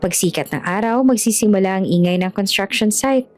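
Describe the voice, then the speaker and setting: A woman narrates a scripted love story in an audiobook style. Her voice sits at an ordinary mid pitch for a woman, with music playing behind it. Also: brisk. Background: background music.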